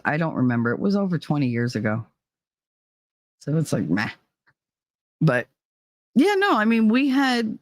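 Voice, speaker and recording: A woman's voice: low-pitched, dry recording. Middle-aged American woman, casual live-chat host. Blunt, teasing, sweary delivery, recorded dry. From New Jersey, United States. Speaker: live talk show host.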